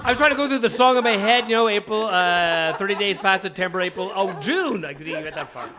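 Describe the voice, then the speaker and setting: A man's voice: high voice, fast talker, a crowd in the background. A man hosting a live stage show, chatting casually and teasing his guests with frequent interjections. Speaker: host of live table read. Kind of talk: casual bantering chat on stage. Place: live stage in small venue.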